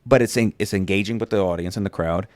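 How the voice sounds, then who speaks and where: Fast-talking man, dry close sound. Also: deep voice, no room sound. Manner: animated storytelling with fillers. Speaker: podcast host. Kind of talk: casual chat.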